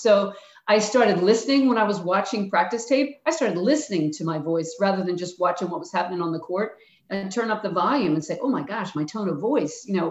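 Older Philly woman, frank retired coach; roomy, muffled sound. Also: medium pitch. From Philadelphia. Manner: frank, plainspoken, acts out quotes of herself and players. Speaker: retired basketball coach, guest. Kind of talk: reflective interview answers. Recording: echoey, dull-sounding room.

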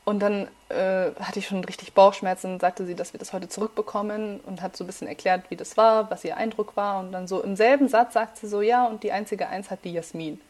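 A young woman in her twenties whose first language is Farsi, telling school stories as a podcast guest in a lively, casual way with many fillers. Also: medium pitch, fairly quick, few pauses. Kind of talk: casual storytelling.

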